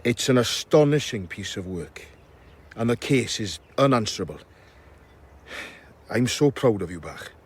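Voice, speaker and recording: An actor playing a blunt but caring doctor who urges a colleague on, in a naturalistic radio drama. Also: male voice, on the low side, lively intonation, unhurried, pauses often, husky. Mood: proud, urging. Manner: frank, persuasive, gently urging.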